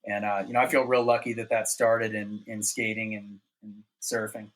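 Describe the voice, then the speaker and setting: A man's voice: lowish voice, flat delivery, moderate pace, poor audio. A middle-aged man from North Carolina, a podcast guest telling laid-back, rambling stories with frequent laughs. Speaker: podcast guest, former pro snowboarder. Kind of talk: casual storytelling.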